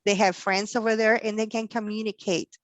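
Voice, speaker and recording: Woman, Mexican accent, native Spanish speaker, middle pitch, halting delivery, dry close sound. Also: little pitch movement, no echo. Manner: halting, many fillers and restarts. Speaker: career panel speaker. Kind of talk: career talk to students.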